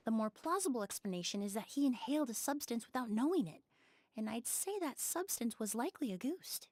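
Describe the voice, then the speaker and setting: A woman playing a composed young investigator in a game, speaking in a measured, clinical way. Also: fairly high pitch, animated, a little mellow, no room sound. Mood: calm, clinical. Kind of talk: video game voice acting.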